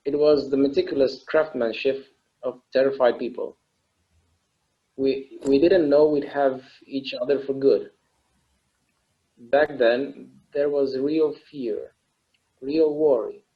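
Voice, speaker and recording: A man acting a reflective immortal character in a naturalistic table read, speaking in a halting, earnest, conversational way. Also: mid-range voice, close to an everyday voice. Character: wistful, reflective immortal man confiding in his partner. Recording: slightly dull, lo-fi sound.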